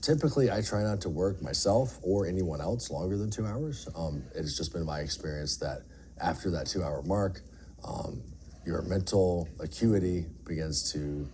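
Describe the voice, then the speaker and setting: A middle-aged man with an extremely low voice answers interview questions candidly. He is earnest and plain-spoken, stressing his points. There is faint noise and room ambience behind him. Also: a little mellow. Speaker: air traffic controller being interviewed.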